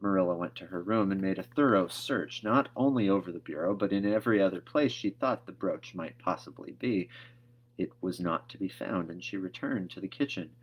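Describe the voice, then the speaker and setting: A man with a fairly low voice reads a literary story aloud. He narrates in the style of a storybook reader. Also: a little fast, breathy edge, highs cut off.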